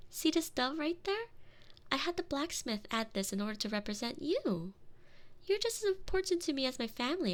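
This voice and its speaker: A spoiled young lady of high birth, acted by a woman in an intimate audio roleplay. Her voice sits fairly high and moves around expressively as she coaxes and dotes. She is possessive and used to getting her way. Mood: excited, adoring. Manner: gushing, coaxing, expressive and intimate. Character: doting, possessive young noblewoman, spoiled and insistent. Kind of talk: scripted roleplay audio addressed to the listener.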